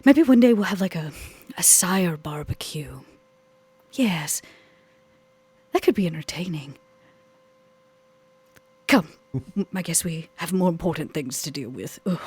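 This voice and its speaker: A woman's voice: lowish voice, animated, measured pace, long pauses, darkish tone, slightly rough. A woman playing in a tabletop role-playing podcast, speaking in and out of character with a dry, sardonic manner.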